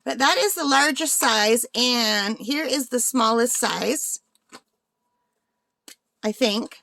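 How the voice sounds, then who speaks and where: A woman's voice: fairly high pitch, lively intonation, takes it slowly, vocal fry, pushed and bright, dry recording. A woman hosting a craft unboxing vlog in a casual, chatty way, thinking aloud, with a slightly tired, under-the-weather voice. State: slightly unwell, voice tiring. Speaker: craft channel host.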